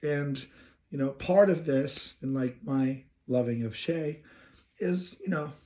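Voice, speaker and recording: A young man with a hoarse voice from laryngitis, talking casually and reflectively to the camera, rambling and correcting himself as he goes. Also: fairly high pitch, slow pace, warm tone. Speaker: counseling student. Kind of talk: casual personal talk to camera.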